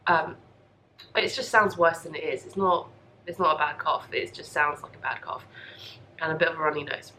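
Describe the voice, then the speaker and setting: A woman's voice: low voice, moderate pace, sharp tone, a little hiss or hum. A young woman hosting a casual, chatty podcast, congested from a cold, rambling in an apologetic, self-deprecating way. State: congested and coughy from a lingering cold. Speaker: podcast host. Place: home craft room.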